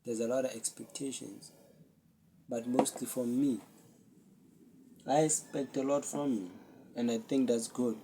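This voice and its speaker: A young man, a Zulu speaker, hosting his own podcast and talking earnestly and reflectively to a camera with many pauses. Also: mid-range voice, fairly even intonation, gravelly, noisy background. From South Africa. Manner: slow, earnest, self-searching, with pauses. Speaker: podcast host. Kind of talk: solo reflective talk to camera.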